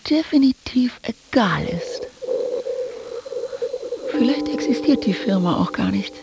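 An actress playing a detached, aimless woman in her thirties, musing with deadpan wryness in a radio drama. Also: fairly high pitch, slow. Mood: detached, wry. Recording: clear ambient background noise.

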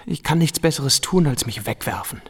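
Troubled soldier in long reverb. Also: male voice, medium pitch, animated, moderate pace, breathy edge. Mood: despairing. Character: troubled, self-loathing soldier friend, restless, bitter. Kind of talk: radio drama acting.

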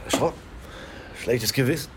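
An actor playing a genial, fatherly older police colleague in a radio play, familiar in manner, with a creaky voice. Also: mid-range voice, a little slow, noisy background, natural voice. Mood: familiar, probing.

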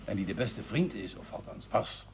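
A gruff, blunt village doctor who scolds and reasons in a slightly low voice, with a hard northern G. The sound is a 1970s TV soundtrack with a faint hiss underneath. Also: male voice, lively intonation, moderate pace. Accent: Dutch from the north, with hard G. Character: blunt outspoken male village doctor, middle-aged. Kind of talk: 1970s TV drama acting.

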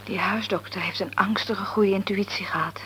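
A woman playing an upset young mother in a radio play, speaking hushed and breathy. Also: mid-range voice, fairly even intonation, moderate pace, slight background noise, lightly acted, poor audio.

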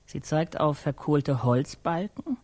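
An actor playing a solemn, reverent man in a radio drama, speaking in a measured way with hushed emphasis. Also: fairly high pitch, animated, dry recording. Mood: grave. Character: solemn, reverent speaker musing on sacred life. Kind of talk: German radio drama dialogue.

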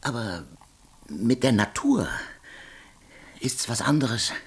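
An actor playing a poor, harried soldier in a German radio drama, humble and brooding, halting as he gropes for words. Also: mid-range voice, expressive, slightly rough, full character voice.

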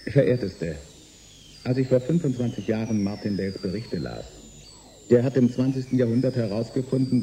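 A man playing an embittered middle-aged inventor in a German science fiction radio drama, speaking in a deliberate, wry, needling way. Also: mid-range voice, mellow, a little hiss or hum, close to an everyday voice. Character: embittered middle-aged male inventor turned saboteur, sardonic and resigned.

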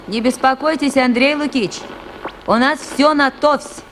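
A resolute station chief in a radio play. Her high voice stays firm, assured and businesslike, over clear background noise. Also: unhurried, fairly bright tone, rough recording. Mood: composed, determined. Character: composed, resolute woman chief of river station. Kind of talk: Soviet radio play acting.

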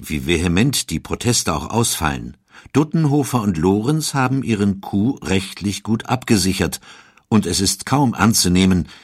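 A man reads a historical documentary script in a calm, measured way. His voice is very low and somewhat husky, recorded dry and close. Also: dry recording. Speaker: narrator.

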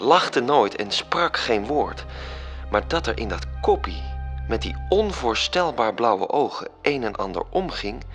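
A man's voice: fairly low pitch, a bit bright. An actor narrating a radio drama as an angel telling a story to his superior.

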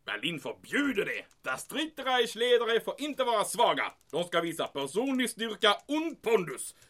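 Guy doing a pompous german major, sharp forceful voice on the high side, swings all over, flustered. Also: very animated, heavily acted. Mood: blustering. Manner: pompous, blustering, evasive. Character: pompous, flustered German occupation army major, easily indignant. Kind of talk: audio drama dialogue.

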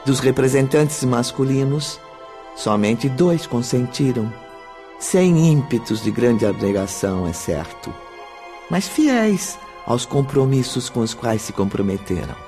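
Male narrator, Brazilian, formal and solemn, unhurried scripted radio drama storytelling with music bed. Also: medium pitch, expressive, warm tone. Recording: background music under the voice.

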